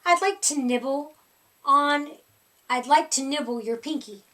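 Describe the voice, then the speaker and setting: A hungry storybook monster, performed by a woman, with a high, theatrical growl heard a little away from the mic. He is teasing and fond, a bedtime scarer who takes his time over each threat. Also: measured pace, pushed and bright, far from the mic. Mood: playful menace.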